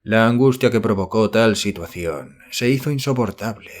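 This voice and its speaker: A man's voice: fairly low pitch, normal speed. Man narrating a solemn horror audiobook.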